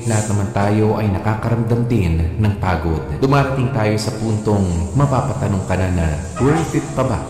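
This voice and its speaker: A weary Filipino husband , played by a male actor, tells his story earnestly in a radio drama. His voice sits fairly low and is measured. It rings in a big reverberant space over music. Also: mellow, close to an everyday voice. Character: weary, long-suffering husband narrating his letter. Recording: very echoey hall, music behind.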